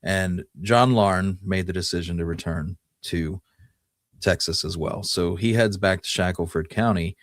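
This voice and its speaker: A man's voice: low-pitched, measured pace, dry recording, studio quality. A man co-hosting a podcast, telling history casually and thinking aloud as he goes. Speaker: podcast co-host. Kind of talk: casual history discussion and storytelling.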